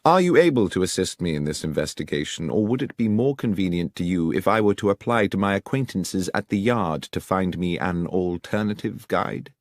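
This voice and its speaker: Commanding male Victorian detective for a storybook, acted by a male reader: low, expressive, crisply authoritative. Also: normal speed, few pauses, no room sound, clean studio sound. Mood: threateningly patient. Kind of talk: audiobook character reading.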